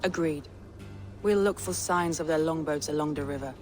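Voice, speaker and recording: A woman's voice: deep voice, moderate pace, lightly acted. A woman voicing a proud, resolute Viking lord and war leader in a video game, commanding, guarded and earnest. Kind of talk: video game dramatic acting. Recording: music behind the voice.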